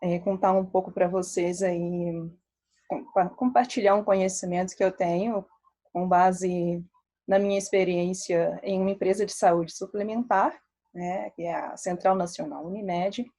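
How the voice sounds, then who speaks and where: A woman in her thirties speaks with a Brazilian accent that blends Minas Gerais and Rio. Her voice is mellow and dark. She talks in a relaxed, chatty way, full of fillers and light asides. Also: fairly low pitch, measured pace. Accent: Brazilian Portuguese, mixed Minas Gerais and Rio. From Belo Horizonte, Brazil. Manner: relaxed, chatty, many fillers, laughs often. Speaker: statistician presenting to a meetup. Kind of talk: casual talk.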